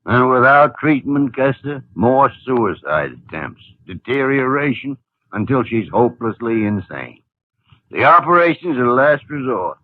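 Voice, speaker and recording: An actor playing a gruff, blustering older senior doctor in a 1950s radio drama. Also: male voice, medium pitch, sing-song, measured pace, no echo. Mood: explaining. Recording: tinny lo-fi 1950 radio broadcast.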